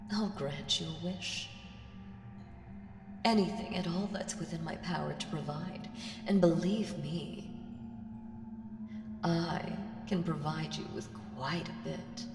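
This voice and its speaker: In a long, cavernous reverb over music, a woman performs an ancient evil female entity for an audio roleplay. She speaks very slowly, teasing and condescending, drifting between seductive murmur and breathy whisper. Menace sits under every playful phrase. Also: lowish voice. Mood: teasing, tempting.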